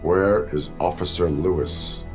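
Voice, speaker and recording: An actor playing a stoic, law-bound cyborg police officer in a video game, with a deliberate, commanding delivery. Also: male voice, deep voice, fairly even intonation, over music. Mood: cold, commanding. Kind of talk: video game cutscene acting.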